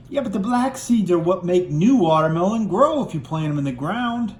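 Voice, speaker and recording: A man doing playful improvised puppet play for children, with animated exclamations and teasing. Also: sits high, normal speed, echoey room. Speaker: father puppeteering toy ponies for a kids' video.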